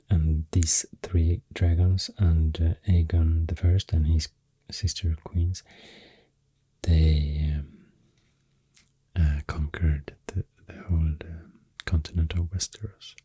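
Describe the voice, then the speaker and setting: A man with a Swedish accent, rambling softly and hesitantly in soothing ASMR, breathy and often near a whisper, close to the mic. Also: deep voice, no room sound. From Sweden. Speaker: ASMR creator. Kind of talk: soft-spoken ASMR rambling. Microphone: close microphone in a dry space.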